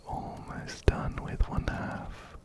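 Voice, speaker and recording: A man whispering breathily and gently, very close to a binaural microphone, as he narrates a game and reads its text aloud. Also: slow pace. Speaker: ASMR video host. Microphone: close-up binaural microphone, ear to ear.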